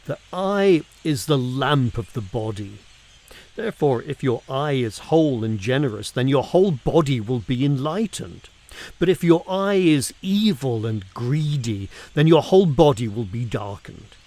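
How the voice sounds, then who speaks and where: A man reads scripture aloud with a very lively, swooping intonation. His pace is slow and deliberate, and he leans on key words with emphatic stresses and weighted pauses. The delivery is expressive and dramatic, in a middle-pitched male voice. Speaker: reader of scripture.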